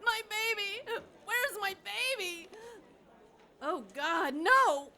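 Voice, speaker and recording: A woman's voice: extremely high pitch, animated, slow, crowd noise, heavily acted. An actress playing a pregnant young woman in labor in a radio drama, breathless and panicked. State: in labor, crying out. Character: pregnant young woman, anxious and sharp-tongued.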